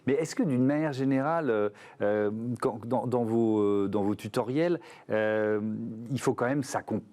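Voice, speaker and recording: A man's voice: mid-range voice, unhurried, darkish tone. A man hosting a studio interview. He asks questions with many hesitations and repeated words. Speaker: TV show host.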